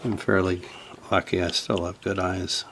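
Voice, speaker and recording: A man giving an unscripted hands-on repair tutorial, thinking aloud haltingly as he works. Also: deep voice, little pitch movement, fairly bright tone, slight background noise. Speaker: host of a radio repair video.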